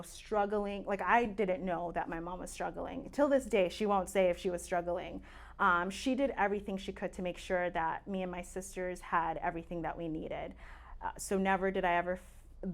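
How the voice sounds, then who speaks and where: A woman in her late twenties from Tanzania, a native Swahili speaker, chatting casually as a podcast guest with plenty of fillers and easy laughs. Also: lowish voice. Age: late 20s. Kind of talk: casual interview chat.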